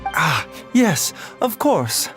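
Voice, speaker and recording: Courteous male physician, game role: measured formality, unruffled, guarded, expressive intonation. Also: fairly high pitch, soft background music, heavily acted. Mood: polite, composed. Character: courteous, soft-mannered male doctor, secretive and unruffled. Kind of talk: video game voice acting.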